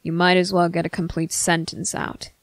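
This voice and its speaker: A performer voicing a stern but tender male vampire captain in an intimate ASMR roleplay, speaking deliberately in clipped phrases with pointed pauses. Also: mid-range voice, level tone, gravelly, dry recording, natural voice. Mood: stern, reassuring. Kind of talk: ASMR roleplay addressed to the listener.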